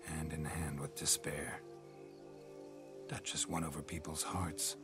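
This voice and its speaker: A stoic monster hunter from a fantasy video game, with a gravelly voice pitched extremely low. He is weary and terse, keeping his melody very flat. Music plays clearly behind his words. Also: unhurried. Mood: remorseful. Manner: terse, clipped, flat delivery.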